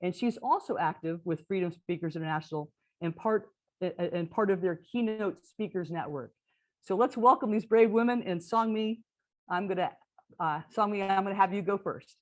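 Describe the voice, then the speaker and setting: A woman's voice: mid-range voice, normal speed. A woman moderating a panel event, introducing a speaker in a casual way, with small asides and restarts.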